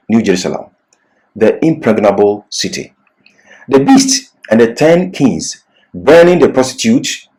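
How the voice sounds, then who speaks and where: A man's voice: on the low side, darkish tone, poor audio. A man giving an expository Bible lecture as a teacher, in a didactic, emphatic manner.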